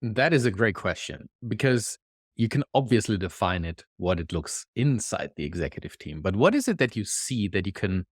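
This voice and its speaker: A man with a German accent, a podcast guest, explaining his work in a thoughtful, measured way. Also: on the low side, sing-song, no echo, clean studio sound. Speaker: guest, executive consultant. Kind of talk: conversational interview.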